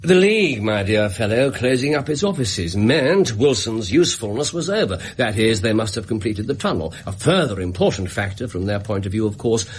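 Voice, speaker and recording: An actor playing a brilliant, bored English gentleman detective in a British radio drama, theatrical, precise and self-assured. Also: lowish voice, big pitch swings, normal speed, hardly any pauses, breathy edge. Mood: animated, explaining.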